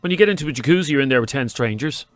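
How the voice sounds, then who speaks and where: A man's voice: mid-range voice, expressive, very fast, no echo. A man with a Dublin accent co-hosting a radio show, bantering casually in a teasing, incredulous manner. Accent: Irish, Dublin. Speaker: radio co-host.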